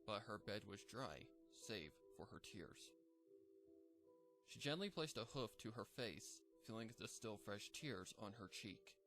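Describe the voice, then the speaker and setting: Male storyteller, low and somber, measured read over faint music. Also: lively intonation, fairly bright tone. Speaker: fanfic narrator. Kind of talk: scripted story narration.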